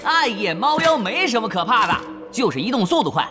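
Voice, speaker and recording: A brash, excitable game party leader. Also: male voice, extremely high pitch, fairly quick. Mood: bossy. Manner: bossy, excitable, slangy. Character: brash, scheming young male online-game party leader. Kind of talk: animated Chinese audio drama acting. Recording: loud background music.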